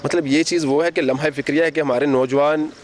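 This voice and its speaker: A man with a Pakistani accent doing a street interview, speaking in a halting, informal way as the reporter. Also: on the high side, fairly even intonation. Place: outdoors on a city street. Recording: outdoor street noise, passing vehicles.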